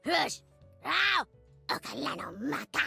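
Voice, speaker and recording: An actor voicing a small, excitable prehistoric baby creature from a video game, babbling gibberish in short yelps, squeaky and gravelly. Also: slow, faint music behind, exaggerated voice. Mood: chirpy, babbling. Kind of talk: video game character voice lines.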